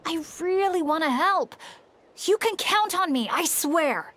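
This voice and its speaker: A woman's voice: very high-pitched, lively intonation, unhurried, fairly bright tone, a little hiss or hum, full character voice. A woman voicing an earnest, clumsy young male adventurer who keeps pleading to be allowed to help, in a video game.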